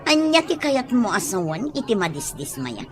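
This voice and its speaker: A woman's voice: a little high, sing-song, moderate pace, a faint crowd, a little hiss or hum. A woman playing a stern, anxious, questioning figure in an audio drama, with a Filipino accent. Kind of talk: Ilocano audio drama acting.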